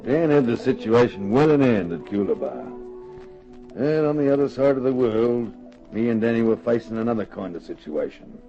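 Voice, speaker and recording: A man's voice: mid-range voice, expressive, normal speed, close to an everyday voice. An actor playing a plain-spoken bushman narrating his own story in an old radio serial. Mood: grave. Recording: muffled old radio recording with music.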